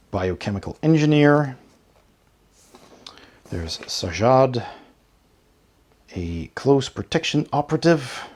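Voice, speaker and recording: A man with a fairly low voice and a noticeably husky edge talks through an unboxing as a channel host. He takes his time, speaking very slowly and pausing between items in a casual show-and-tell manner. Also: a little mellow. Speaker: tabletop game reviewer and channel host.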